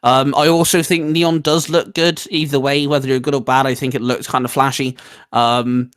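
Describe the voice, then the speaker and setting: Man, medium pitch, casual co-host chat, emphatic opinions, halting and repetitive phrasing. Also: level tone, a bit bright, smooth. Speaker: co-host ranking video game powers.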